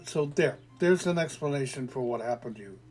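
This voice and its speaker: A man voicing a calm skeptical adult explainer. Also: medium pitch, a little fast, soft background music, lightly acted. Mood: patiently explaining. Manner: soothing, reasoning, lecturing. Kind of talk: audio short story reading.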